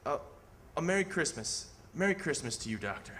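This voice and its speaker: Overwrought, high-pitched new father. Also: sing-song, a little fast, a bit bright. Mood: grateful. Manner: stammering, pleading, repeats himself. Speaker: radio drama actor. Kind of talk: old-time radio theatre acting.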